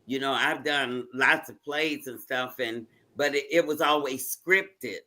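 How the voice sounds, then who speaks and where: A man's voice: mid-range voice, unhurried, sharp tone. A man chatting candidly and colloquially as a cast member on a panel. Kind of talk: casual chat.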